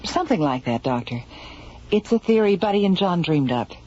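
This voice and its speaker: A woman's voice: fairly low pitch, very animated, normal speed, dark tone. A woman playing a level-headed, skeptical scientist in a radio drama, with a no-nonsense, questioning manner. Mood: polite. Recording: dull radio recording, music behind.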